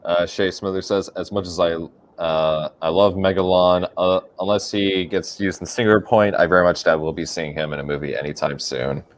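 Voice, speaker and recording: A man's voice: sits low, flat delivery, normal speed. A man on a casual livestream podcast, reading out viewer questions hesitantly with many fillers. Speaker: co-host reading chat questions.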